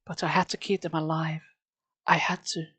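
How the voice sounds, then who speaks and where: A reader doing a hushed, bitter old shopkeeper. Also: mid-range voice, little pitch movement, moderate pace, slightly rough, dry recording. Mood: sad, hushed. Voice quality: soft, hushed. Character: pale creepy male mask-shop owner, sad and bitter. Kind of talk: storybook reading.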